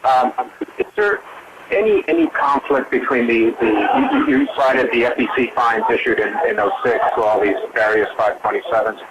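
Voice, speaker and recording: A man's voice: medium pitch, sing-song. Male reporter on very noisy line. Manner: halting, repeats words. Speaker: wire reporter asking a question. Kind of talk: spontaneous press question. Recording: very noisy conference phone line.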